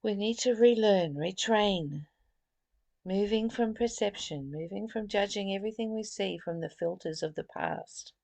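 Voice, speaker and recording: A woman giving a reflective spiritual lesson, reading and explaining in deliberate, emphatic phrases with pauses and repetition. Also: medium pitch, vocal fry, darkish tone, no room sound. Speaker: spiritual study teacher.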